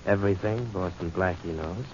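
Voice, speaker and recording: A man's voice: sits low, moderate pace, natural voice. An actor playing a cocky, hard-boiled male private detective, smug and wisecracking, in 1940s radio drama style. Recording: muffled, noisy 1940s radio recording.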